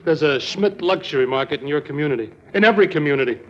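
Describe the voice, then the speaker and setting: Actor as a blunt, lovesick American rich heir. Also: male voice, a little high, lively intonation, fast talker, slight background noise, lightly acted. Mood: glum, boastful. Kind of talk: old-time radio drama acting. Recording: muffled old radio recording.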